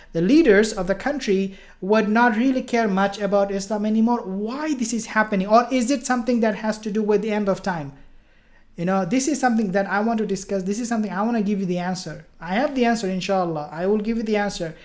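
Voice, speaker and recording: A woman's voice: mid-range voice, a little fast, darkish tone. A woman giving informal religious and political commentary, speaking emphatically with repetition and rhetorical questions.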